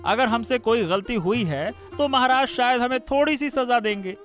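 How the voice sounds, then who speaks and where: A man's voice: extremely high pitch, normal speed, fairly bright tone, faint music behind. A man voicing a dutiful son in a Hindi cartoon story, urging and reasoning insistently. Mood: concerned, insistent. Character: urging, dutiful son advising his worried mother.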